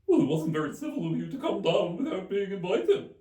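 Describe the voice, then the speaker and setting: Storybook March Hare: high, swooping, excitable and forceful, quick to argue. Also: male voice, roomy sound, far from the mic. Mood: argumentative. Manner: loud, emphatic, argumentative. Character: blustering, excitable male March Hare, animal storybook character.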